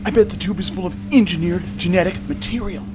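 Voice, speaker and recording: A man's voice: sits high, fast talker, noisy background, close to an everyday voice. A man playing a nervous, eager young would-be scientist, hesitant in manner, in an audio drama.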